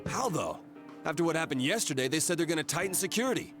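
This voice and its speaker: A skeptical, down-to-earth teenage boy in a game dub. His questioning voice is fairly high and animated, with music clearly behind. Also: quick pace. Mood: doubtful. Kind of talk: English video game dub acting.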